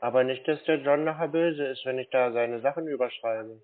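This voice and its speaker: A man's voice: mid-range voice, steady tone. Naive-sounding man on a tinny phone line. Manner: feigned naive, slow-witted, stalling. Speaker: prank caller posing as a naive customer. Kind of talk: casual phone conversation. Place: phone call.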